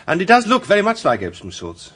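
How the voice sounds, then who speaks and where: A man's voice: high voice, wildly swooping pitch, quick pace, a bit bright, breathy edge, exaggerated voice. A man acting in a radio drama as a charming, self-assured barrister, suave and theatrical in his delivery. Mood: soothing, courteous.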